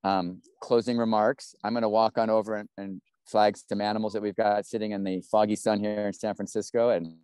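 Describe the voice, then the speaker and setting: Man hosting, smooth low voice. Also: flat delivery, a little fast, few pauses, warm tone, dry recording. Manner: rambling, encouraging, many restarts. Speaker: webinar host. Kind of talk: casual hosting on a video call. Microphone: video call.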